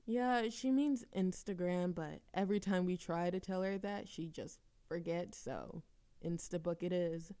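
A woman's voice: on the low side, measured pace, clean tone. A woman playing a playful, dramatic young woman chatting teasingly with a best friend in an audio roleplay. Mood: chatty, wry. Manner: animated complaining, teasing, theatrical exasperation. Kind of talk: intimate friend roleplay audio acting, conversational.

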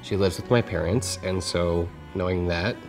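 Deep-voiced man in his thirties. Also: moderate pace, soft background music. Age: 30s. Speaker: participant. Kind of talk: casual reality-show commentary.

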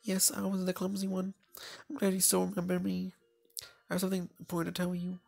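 A man voicing a shy, clumsy, apologetic anime schoolgirl in a timid, soft-spoken way for a visual novel. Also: high voice, monotone, fairly quick, creaky, pitched above the performer's own voice, heavily acted. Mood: shy, earnest.

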